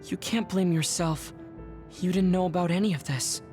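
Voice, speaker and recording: An actor playing a composed, analytical young strategist in a video game, speaking formally and in a measured way. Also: male voice, high voice, fairly even intonation, music in the background, natural voice. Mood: reassuring. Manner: measured, formal, matter-of-fact. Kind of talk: anime game dub acting.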